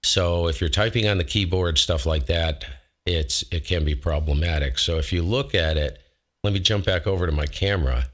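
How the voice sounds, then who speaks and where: A man with a low voice hosting a ham radio show, talking casually through a microphone demo from his home shack on a close dynamic mic, rambling and correcting himself as he goes. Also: normal speed, fairly bright tone. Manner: rambling, self-correcting, folksy. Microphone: close dynamic studio mic.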